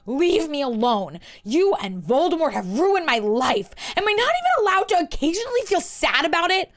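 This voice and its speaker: A woman voicing a distraught, drunk young witch in a story reading, with shrill, emotional outbursts. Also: animated, unhurried. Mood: furious. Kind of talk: reading a fan story aloud.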